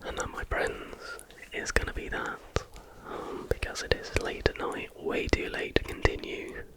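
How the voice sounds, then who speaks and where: A man whispers softly and without hurry in an informal ASMR narration, as the host of the video. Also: unhurried.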